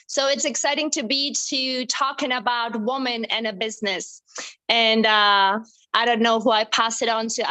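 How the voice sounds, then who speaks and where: A woman's voice: on the high side, bright, forceful tone, rough recording. A woman from the Czech Republic introducing herself on an online group call in an upbeat way.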